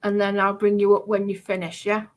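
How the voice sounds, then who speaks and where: A woman's voice: mid-range voice, completely flat delivery, fairly quick. A woman in casual, argumentative livestream chat, blunt, defensive and emphatic in the way she talks.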